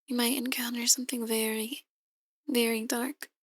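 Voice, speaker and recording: A woman playing a soft-spoken, ominous demon tarot reader in an ASMR roleplay, speaking in a measured, breathy near-whisper. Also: a little high, level tone, no echo, studio quality, lightly acted. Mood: solemn. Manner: slow, measured, gently ominous. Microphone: close, dry microphone.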